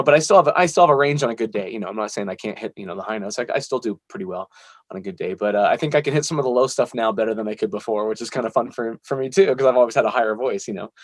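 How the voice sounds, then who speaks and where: A man's voice: fairly low pitch, fast, no room sound. A man being interviewed as a musician, chatting casually in a rambling, self-deprecating way.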